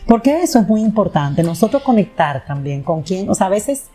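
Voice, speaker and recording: A woman hosting a panel conversation, her voice mellow and low in brightness. She talks in loose, winding sentences and keeps restarting and correcting herself. Her pitch sits in a middle range. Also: very animated, normal speed, soft background music. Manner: rambling, self-correcting, restarts with fillers. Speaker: host.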